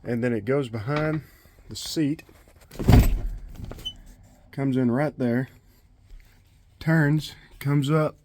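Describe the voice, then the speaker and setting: A man's voice: medium pitch, extremely slow, pauses often, heavy background noise. A man giving a casual, unscripted hands-on DIY walkthrough, thinking aloud as he goes. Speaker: DIY vlogger. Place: in and around a pickup truck.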